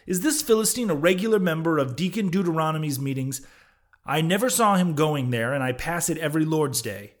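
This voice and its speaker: A man's voice: mid-range voice, normal speed, hardly any pauses, a bit bright, close to an everyday voice. A man voicing a pious, suspicious old Quaker sea captain in an audiobook, hollow-voiced and preachy, using thee and thou. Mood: shocked, indignant. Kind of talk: audiobook character voice.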